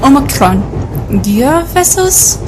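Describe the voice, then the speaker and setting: A woman's voice: high-pitched, sing-song, takes it slowly, full character voice. An actress playing an inquisitive, polite female member of an adventure team in an audio drama. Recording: clearly noisy background, engine-like ambience.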